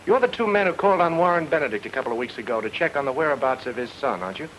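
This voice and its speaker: Man playing fast-talking, cocky radio detective, noisy recording. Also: mid-range voice, expressive, a bit bright, lightly acted. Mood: probing. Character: breezy wisecracking male private detective, cocky and sardonic. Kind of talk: film noir radio drama acting.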